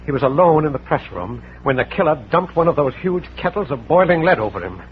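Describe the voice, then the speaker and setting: A man's voice: a little high, animated, a little fast, lightly acted. An actor playing an earnest, anxious man under suspicion, speaking urgently in 1940s radio drama style. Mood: alarmed. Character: anxious, earnest man suspected of murder, pleading for help. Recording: noisy, muffled old radio broadcast recording.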